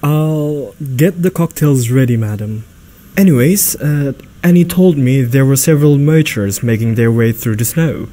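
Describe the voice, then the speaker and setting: A man's voice: medium pitch, expressive, moderate pace, warm tone, natural voice. A man acting a dutiful household butler in a radio play, deferential and formal. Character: dutiful household butler reporting to his madam. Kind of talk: amateur radio drama acting.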